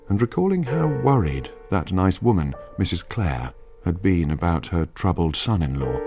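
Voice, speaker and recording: An actor with an English accent as a calculating, darkly reflective narrator of a radio crime drama, measured and literary. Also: male voice, very deep voice, exaggerated voice.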